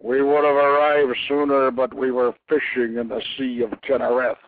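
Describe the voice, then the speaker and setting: Storybook warrior god, voiced by a man: stern, mighty, calm authority, measured declamation, close dry recording. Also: mid-range voice, no echo, phone-quality sound, lightly acted. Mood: confident, taunting. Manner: declamatory, measured, archaic phrasing. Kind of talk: dramatic storybook reading.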